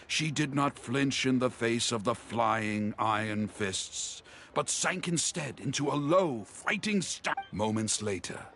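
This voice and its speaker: An actor playing a grandiose street storyteller in a video game, telling heroic legends with theatrical suspense. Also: male voice, lowish voice, vocal fry, fairly bright tone, heavily acted.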